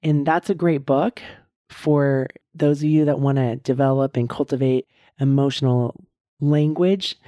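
Middle-aged female podcast host, hoarse and husky, low mellow tone, close dry mic, earnest coaching delivery. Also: no room sound. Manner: earnest, encouraging, repeats key points slowly. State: hoarse, strained voice. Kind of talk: casual solo podcast talk.

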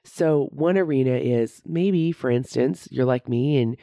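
A woman's voice: very deep voice, unhurried, mellow, no room sound, studio quality. A woman of about fifty with a head cold, hosting a solo podcast as a coach and talking conversationally, often tagging sentences with "right?". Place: recording studio.

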